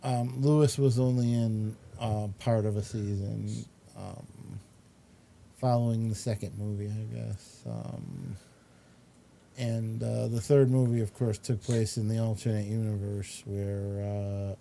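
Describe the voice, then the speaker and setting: Slow-talking man with a very dark, mellow voice. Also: fairly low pitch, vocal fry, a little hiss or hum. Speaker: talk show host. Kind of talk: casual chat, reviewing entertainment.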